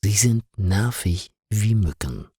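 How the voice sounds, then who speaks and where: A man narrates a story for learners. He speaks slowly and carefully, with lively pitch movement. His voice is somewhat husky and recorded very dry. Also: no echo. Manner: simple, carefully articulated storytelling.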